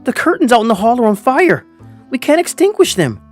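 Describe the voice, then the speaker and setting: A man's voice: on the high side, sing-song, moderate pace, faint music behind. A man voicing an earnest twenty-five-year-old male teacher who narrates his own story and acts out his lines in a manga dub. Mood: alarmed, pleading. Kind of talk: first-person manga dub narration with acted dialogue.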